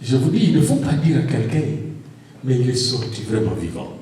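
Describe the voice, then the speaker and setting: A male pastor preaching a sermon in French that is not his first language, building to shouted refrains. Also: mid-range voice, big pitch swings, a little fast, a little hiss or hum. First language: an African language. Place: church. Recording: echoey hall, voice from far off.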